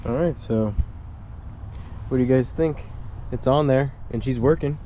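A man's voice: mid-range voice, unhurried, dark tone, slight background noise. Upbeat young man vlogging outdoors. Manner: upbeat, chatty, talks to viewers. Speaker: fishing vlogger. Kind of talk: casual vlog narration. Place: outdoors by a saltwater creek.

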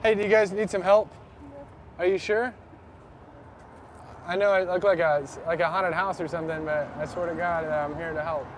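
A man's voice: high voice, steady tone, moderate pace, long pauses. Young man joking with strangers. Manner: joking, self-deprecating, calls out to strangers. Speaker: vlogger doing a public stunt. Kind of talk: casual self-filmed vlog. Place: indoors then on a busy city street. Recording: street noise behind the voice. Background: traffic and street noise.

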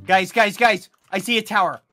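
A man chatting casually while playing a game, excitedly calling out directions to his teammates. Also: high voice, normal speed. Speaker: gamer. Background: background music.

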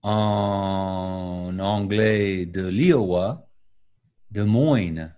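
A middle-aged American man, a native English speaker, answering questions over a video call in careful, deliberate French with an American accent. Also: sits low, a little mellow, clear, resonant tone. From United States. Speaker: guest, video editor. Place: home room with paintings and lamp, indoors. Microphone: webcam on a video call.

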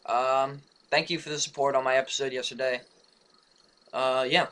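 A young man with a raspy, just-woken voice, rambling through a casual update for his gaming channel with many fillers and restarts. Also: medium pitch, flat delivery, moderate pace, a bit bright. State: hoarse, just woke up. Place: home room beside his gaming setup.

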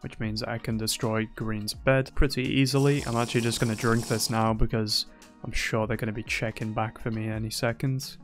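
A man's voice: fairly low pitch, little pitch movement, moderate pace, faint music behind. Young man teasing through gameplay commentary. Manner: playful, teasing, boastful banter. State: slightly losing his voice. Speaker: gaming YouTuber.

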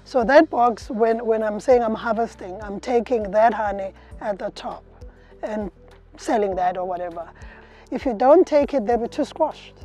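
A woman's voice: on the high side, normal speed, warm tone, soft background music. A middle-aged woman beekeeper, interviewed outdoors in an orchard, explaining with frequent fillers and restarts. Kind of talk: interview answers.

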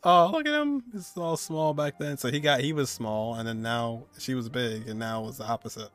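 A man's voice: medium pitch, normal speed, a bit bright. Young man streaming, casual game reactions. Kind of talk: casual live reaction commentary. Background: game music.

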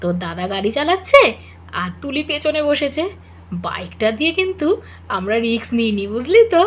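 A woman's voice: high-pitched, sing-song, a little fast. A woman voicing a knowing, teasing elder sister-in-law in a doll-play drama, wry and reassuring. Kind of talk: melodramatic doll-play dialogue.

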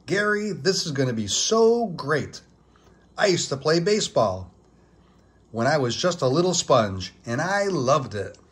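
Man doing an excitable, high-pitched sponge boy. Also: unhurried, husky, heavily acted. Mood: eager. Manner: enthusiastic, exclamatory. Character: eager, cheerful young cartoon sponge boy. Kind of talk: children's storybook character voice.

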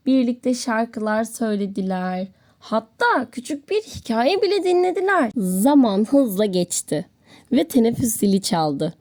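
High-pitched, animated woman storyteller. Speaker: children's story narrator. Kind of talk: expressive scripted children's storytelling.

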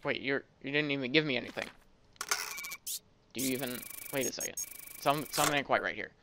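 A man's voice: medium pitch, fairly quick, pushed and bright, slight background noise. A man giving casual gameplay commentary, rambling and thinking aloud as he plays. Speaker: gaming video host.

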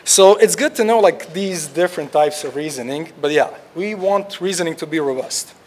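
A man's voice: fairly high pitch, moderate pace, a little mellow. A young man from Croatia, a research scientist giving an informal tutorial talk in a lecture hall, with lots of "like" fillers and restarts. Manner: casual, many "like" fillers and restarts.

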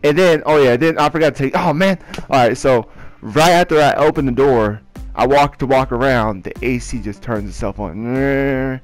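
Young man, casual storyteller, excitable, rambling, slangy, mid-pitched voice, background music. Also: moderate pace. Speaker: video creator telling personal ghost stories. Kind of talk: casual storytelling over gameplay.

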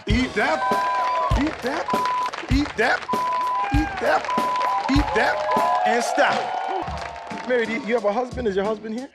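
An actor playing an exuberant, chummy TV cooking show host in a showy live style. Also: male voice, extremely high pitch, takes it slowly, long pauses, over loud music, a loud crowd. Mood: playful hype.

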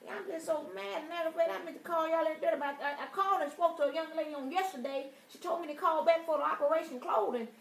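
A woman's voice: extremely high pitch, fast, few pauses. A woman playing an aggrieved, easily offended customer, rambling and swearing through a prank phone complaint. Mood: aggravated. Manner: indignant, rambling, repetitive, foul-mouthed. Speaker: prank caller. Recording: roomy, distant, noisy phone prank recording.

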